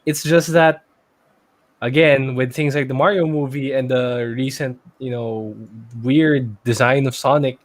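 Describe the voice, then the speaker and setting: A man's voice: medium pitch, steady tone, measured pace. A man co-hosting a podcast, giving exclamatory reactions and opinions in casual banter. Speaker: podcast co-host.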